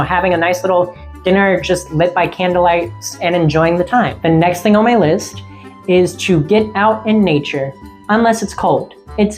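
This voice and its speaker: A woman casually vlogging about seasonal witchcraft in a friendly, rambling way, her voice getting a little raspy. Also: lowish voice, a little mellow. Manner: rambling, friendly, laughs often. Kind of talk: casual chatty vlog.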